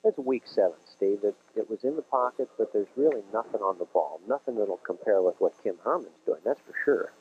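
A man's voice: lowish voice, expressive, a little fast, hardly any pauses, slight background noise. A man with a Midwestern American accent doing TV bowling commentary, folksy and explaining the game to viewers. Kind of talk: TV sports commentary. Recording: dull lo-fi 1980s TV broadcast.

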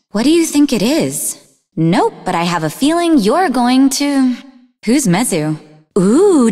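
An actress playing a sarcastic, sharp-tongued young woman scientist in a video game, with a mocking, snappy manner. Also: fairly high pitch. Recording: long reverberant hall echo.

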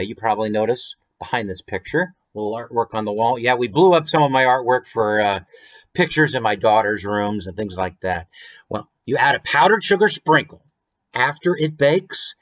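A man in his forties with an American accent, hosting a story time in an expressive, conversational way with chatty asides. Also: fairly low pitch, normal speed. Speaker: author reading his picture book. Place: small room at home, indoors.

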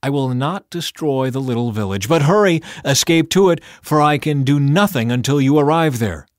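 Stern urgent angel, voiced by a reader, raised commanding tone, dry. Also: male voice, medium pitch, animated, normal speed, dry recording, pitched above the performer's own voice, close to an everyday voice.

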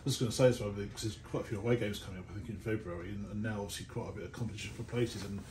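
A man with a rather low voice interviews informally after a match. He talks quickly. The recording is echoey, with clear background noise. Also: steady tone, darkish tone. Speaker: club interviewer. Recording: echoey, noisy room recording.

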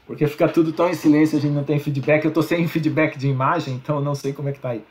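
A middle-aged man with a Brazilian accent lectures from a small room over a video call. His voice sits a bit higher than most men's. He explains patiently, tags his points with "né?" and restarts words as he goes. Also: fairly even intonation, very fast, a little mellow. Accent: Brazilian Portuguese. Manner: explanatory, frequent "né?" tags, self-corrections. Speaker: university lecturer. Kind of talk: online slide lecture.

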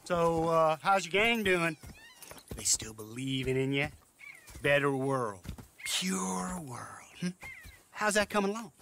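A man's voice: fairly high pitch, big pitch swings, slow pace, vocal fry, pauses often, fairly bright tone, slight background noise, heavily acted. An actor playing a sly rival gang boss in a Western game, mocking and needling as he talks. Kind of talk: game cutscene dialogue.

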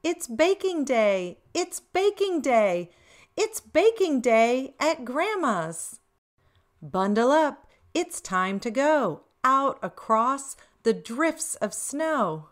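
A middle-aged woman hosting a children's read-aloud, expressive, reading picture-book lines and chatting to young viewers with questions. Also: high voice, takes it slowly. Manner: expressive, addressing small children with questions and exclamations. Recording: roomy echo, distant microphone.

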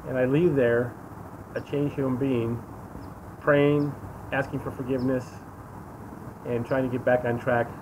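A man's voice: mid-range voice, level tone, measured pace, pauses often. An American man in his sixties giving a casual, earnest, emphatic personal testimony, often repeating himself. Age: 60s. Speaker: interview guest giving testimony. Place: outdoors against a sunlit wall. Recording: outdoor phone recording, clear traffic noise. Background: vehicle and street noise.

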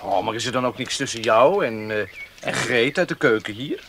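An actor playing a hesitant young gentleman in a 1970s radio play. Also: mid-range voice, expressive, noisy background, natural voice. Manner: hesitant, halting.

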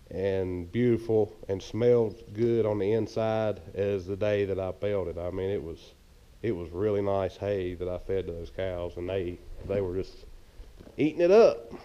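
A man's voice: on the low side, dark tone, clean tone, dull audio. A man, chatting casually and rambling with many fillers, filmed on a handheld camera in a hay barn. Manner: rambling, easygoing, filler-heavy. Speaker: farmer vlogger. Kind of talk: casual farm vlog. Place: open hay barn, indoors. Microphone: handheld action camera at arm's length.

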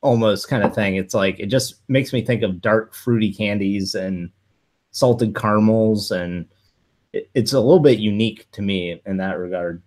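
A man's voice: lowish voice, normal speed, darkish tone. A man in his thirties giving casual reviews as a guest on a video call from his living room. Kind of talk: casual chat.

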